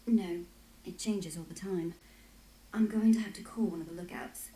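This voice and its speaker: A woman's voice: lowish voice, moderate pace, mellow, lightly acted. An actress playing a wry, resolute woman who guides others through a shadowy fantasy city in a video game. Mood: resolute, brisk. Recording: roomy with faint noise.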